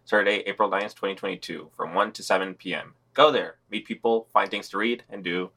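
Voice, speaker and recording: A man with a very low, gravelly voice co-hosts a podcast news roundup. He reads the summaries and quotes in a laid-back, sardonic way. Vocal fry runs through his delivery. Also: a little fast, bright, forceful tone. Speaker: podcast co-host reading news.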